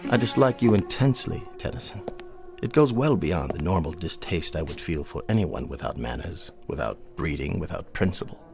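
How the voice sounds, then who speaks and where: An actor playing a haughty, contemptuous old colonel in a radio drama, speaking in a formal, precise and disdainful way. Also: male voice, low-pitched, expressive, moderate pace, gravelly, over music. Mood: cold contempt.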